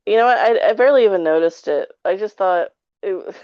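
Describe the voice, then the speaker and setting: A woman's voice: mid-range voice, animated, quick pace, no room sound, dull audio. A woman giving her take in a casual, rambling review chat with an informal way of talking. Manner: rambling, informal, frequent 'like'. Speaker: podcast guest reviewer.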